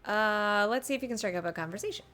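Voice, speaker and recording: A woman's voice: medium pitch, fast talker. A woman giving casual, playful live commentary as she streams a game. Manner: playful, animated. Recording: faint game music behind.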